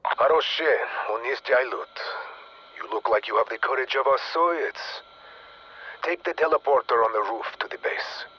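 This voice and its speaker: An actor playing a defiant Soviet resistance fighter in a video game, with a Russian accent and an emphatic, dramatic delivery over the radio. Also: male voice, mid-range voice, normal speed, breathy edge, muffled recording. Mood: urgent, determined.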